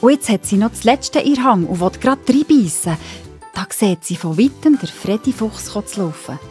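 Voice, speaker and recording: A woman's voice: mid-range voice, expressive, normal speed, a little mellow. A woman with a Swiss German accent narrating a children's story. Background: music.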